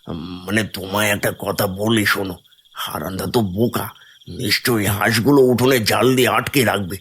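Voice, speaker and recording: A man's voice: fairly low pitch, steady tone, a little fast, fairly bright tone, breathy edge. A man playing a greedy, sly village thief in a fairy-tale cartoon, plotting and dismissive. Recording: music behind the voice.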